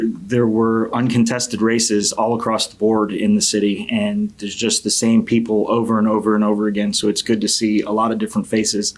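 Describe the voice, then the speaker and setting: A middle-aged man from Connecticut speaking as a city council candidate in an opening statement, halting and earnest in a measured way. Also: lowish voice. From Connecticut, United States.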